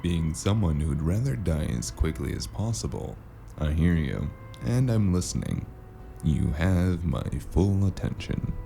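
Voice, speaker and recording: Very deep voice, gravelly, man, dry wry noir narration, loud music underneath. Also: sing-song, a little slow, a little hiss or hum. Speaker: narrator and lead character. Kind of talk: noir audio drama narration. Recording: music score playing underneath.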